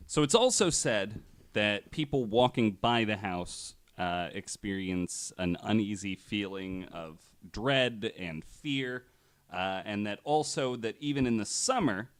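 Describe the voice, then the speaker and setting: A man hosting a podcast, telling stories casually and a bit haltingly, with the odd swear word. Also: lowish voice, no room sound. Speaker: podcast host. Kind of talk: casual storytelling on a podcast, swearing now and then.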